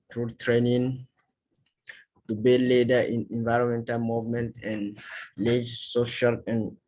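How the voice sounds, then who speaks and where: A man speaking as a guest on an online panel, in slow, halting phrasing that is not native. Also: medium pitch, darkish tone, rough recording. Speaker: guest from a youth climate movement.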